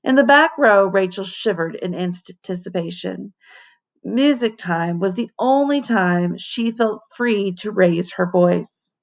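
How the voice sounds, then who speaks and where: A woman narrates a children's storybook with a noticeably creaky voice and an unhurried pace. She reads like a teacher to a class, animated and leaning dramatically on key words. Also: mid-range voice. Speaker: teacher reading aloud to children.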